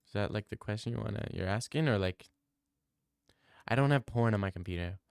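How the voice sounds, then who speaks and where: Young male streamer, loose profane banter, smooth voice, dry close sound. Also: lowish voice, a little fast, no echo. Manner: loose, profane banter, reads chat aloud and riffs. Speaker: streamer answering chat. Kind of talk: casual live-stream chat.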